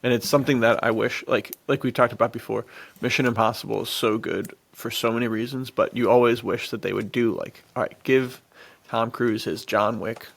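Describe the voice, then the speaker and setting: A man's voice: medium pitch, steady tone, brisk, gravelly. A man co-hosting a podcast in casual, enthusiastic chat, gushing in slang and often laughing. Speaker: podcast co-host. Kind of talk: casual enthusiastic chat about action films.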